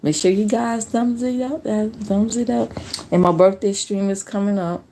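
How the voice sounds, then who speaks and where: A woman in her late forties chatting casually on a live stream, telling stories in a relaxed, slangy way. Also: medium pitch, moderate pace, a little hiss or hum. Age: 40s. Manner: relaxed storytelling with slang and laughs.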